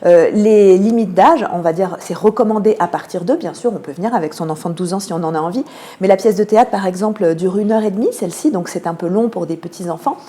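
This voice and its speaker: Soft-toned French woman explaining in an interview. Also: medium pitch, fast talker. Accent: French from France. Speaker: theatre programme manager, interview guest. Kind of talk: explanatory radio interview answers.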